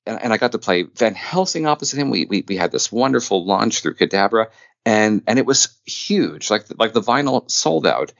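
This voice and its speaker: A man's voice: fairly low pitch, expressive, normal speed, gravelly, dry recording, muffled recording. A middle-aged man telling stories as a podcast guest in an enthusiastic, self-deprecating, conversational way. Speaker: podcast guest, audio drama producer and narrator. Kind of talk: conversational interview storytelling.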